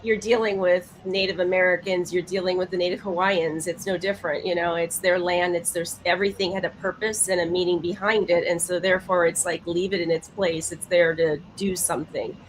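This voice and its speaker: A woman's voice: on the low side, flat delivery, a little fast, few pauses, slight background noise, low-quality recording. A woman in casual panel chat, speaking emphatically and often repeating herself for weight.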